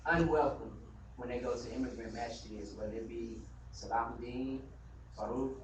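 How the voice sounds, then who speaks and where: A man's voice: mid-range voice, fairly even intonation, moderate pace. Earnest man in an echoey mosque hall. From United States. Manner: earnest, conversational, frequent "you know". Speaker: community member speaking at a mosque gathering. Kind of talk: impassioned open discussion. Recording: echoey hall from far off, noisy live stream.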